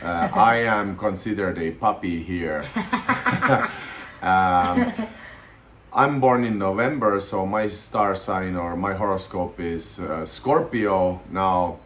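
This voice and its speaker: Man, 30s, from Estonia, very low voice, relaxed hesitant vlog chat, faint hiss behind. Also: slow pace. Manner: relaxed, hesitant with many fillers. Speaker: vlogger. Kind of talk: casual to-camera chat.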